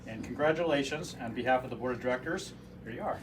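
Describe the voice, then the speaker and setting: A man's voice: mid-range voice, fast. A man with a Midwestern accent, the chamber board chair, offering plain formal thanks. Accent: Midwestern American. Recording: distant mic, loud noisy room.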